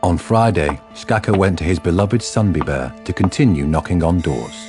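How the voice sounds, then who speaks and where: Male narrator, scripted radio drama, low voice, faint music behind. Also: moderate pace, darkish tone.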